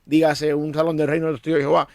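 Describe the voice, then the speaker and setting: Earnest Cuban-born pastor, slightly high voice. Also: male voice, level tone, rapid-fire, creaky, darkish tone, dry recording. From Cuba. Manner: earnest, preacherly, repeats words when starting. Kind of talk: conversational discussion.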